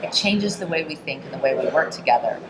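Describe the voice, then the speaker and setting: A woman's voice: a bass voice, lively intonation, fast talker. A middle-aged woman hosting a conversational interview. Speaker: show host and interviewer. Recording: roomy echo, busy crowd chatter. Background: crowd murmur.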